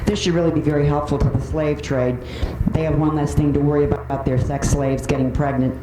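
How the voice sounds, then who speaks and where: A woman delivers a prepared public comment with an earnest, opinionated manner. She is picked up from a distance in an echoey meeting room, with clear noise in the recording. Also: low-pitched, fairly even intonation, brisk. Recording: roomy, noisy, distant microphone with clicks.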